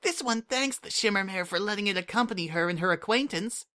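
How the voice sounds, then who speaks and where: A bright, high voice for a little changeling girl in an audiobook drama, put on by a man. She is earnest and dutiful, talks in a stiff, formal way and refers to herself in the third person, with a sharp, bright tone. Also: expressive, fairly quick. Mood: eager. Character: eager young female changeling child, formal.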